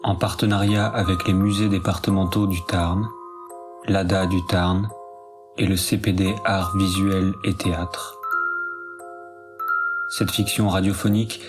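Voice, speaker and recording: A man reading scripted credits as a narrator, in a measured and even delivery. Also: sits low, over music.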